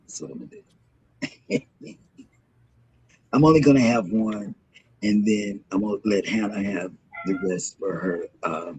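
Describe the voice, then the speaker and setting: A man hosting a morning show in casual, rambling chat, with a throaty, hoarse voice from a sore throat. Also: lowish voice, unhurried, long pauses, darkish tone, a little hiss or hum, rough recording.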